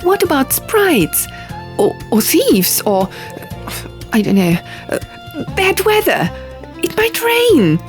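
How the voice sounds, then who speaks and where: A woman voicing an anxious, incredulous young woman in an audiobook, fretful and animated, firing off halting questions. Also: high voice, voice pushed up, full character voice. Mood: worried. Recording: music under the reading.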